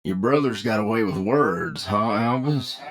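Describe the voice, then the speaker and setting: A man playing a shrewd, stern bounty hunter leader in an anime audio drama, deliberate, probing and a little folksy. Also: fairly low pitch, animated, a crowd in the background. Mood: skeptical.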